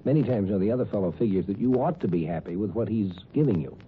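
A man's voice: fairly low pitch, animated, rapid-fire. A man hosting a 1950s radio show, explaining in a conversational commentary style. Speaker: host. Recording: tinny 1950s radio, faint noise.

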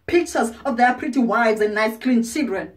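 An actress playing a proud, bitter ageing former prostitute, wounded and defiant, in a confrontational stage monologue with pointed pauses. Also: female voice, a little high, animated, lightly acted. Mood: angry, accusing. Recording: distant microphone.

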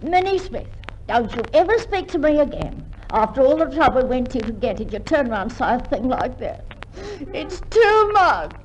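An actress playing a fussy, easily offended wife with an Australian accent in a 1950s radio comedy. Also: female voice, high-pitched, sing-song, normal speed. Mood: huffy. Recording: noisy 1950s radio recording.

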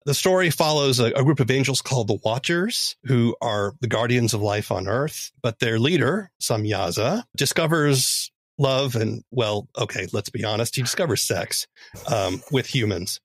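A man's voice: mid-range voice, expressive, moderate pace, husky, dry recording. A man speaking as a podcast host, explaining a story in a conversational way. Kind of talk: podcast commentary summarizing a story.

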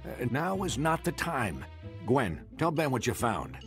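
A man's voice: medium pitch, very animated, soft background music. An actor playing a burly old grandfather, fatherly and dryly humorous, in a cartoon video game. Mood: concerned. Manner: fatherly, dry, measured. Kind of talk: animated video game cutscene acting.

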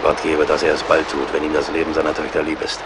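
Seasoned frontier scout, low-pitched, sardonic and commanding, radio drama with battle noise behind. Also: male voice, monotone, fast talker. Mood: grim, bitter. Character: seasoned, relentless male frontier scout, grimly practical and loyal. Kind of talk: German radio play acting.

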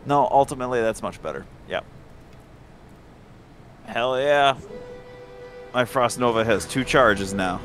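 Male game streamer, unhurried, lively swooping intonation, playful casual chat, mid-range voice. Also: medium pitch. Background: faint game music.

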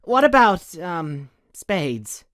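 A man voicing a young, cheeky, excitable cartoon cup-headed casino worker with a boyish, informal manner. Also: high voice, extremely slow, no room sound, studio quality, full character voice. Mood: casual, wry. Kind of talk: animated comic dub acting.

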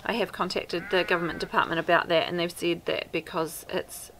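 Down-to-earth New Zealand homesteader woman, thirties, slightly low and gravelly, practical chat to camera outdoors. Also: fairly even intonation, a little fast, a bit bright, a little hiss or hum. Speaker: homesteader vlogger. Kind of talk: casual how-to talk to camera. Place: outdoors by a wooden fence. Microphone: camera at arm's length.

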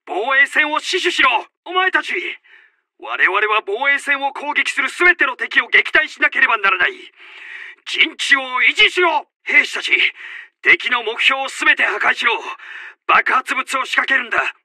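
A man's voice: very high voice, expressive, unhurried, pushed and bright, dry recording, heavily acted. A man playing a stern, urgent Japanese army officer in a war video game, barking clipped tactical announcements and orders. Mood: commanding, rallying. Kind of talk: shouted tactical voice lines.

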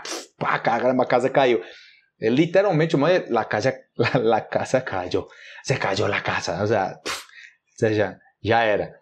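A man in his thirties with a Brazilian Portuguese accent, talking to camera as a Portuguese teacher. His voice sits a little high for a man, and he explains words with lively gestures and acted-out examples. It is recorded close on a small lapel mic in a small room. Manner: animated, gestures a lot, acts out example phrases. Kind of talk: casual video lesson explaining vocabulary. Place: small indoor room, plain wall.

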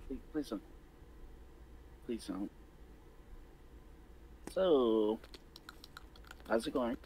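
A man improvising a newcomer slayer in a game roleplay, speaking tentatively and haltingly. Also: medium pitch, mellow, slight background noise, low-quality recording. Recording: music clearly behind the voice. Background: game music.

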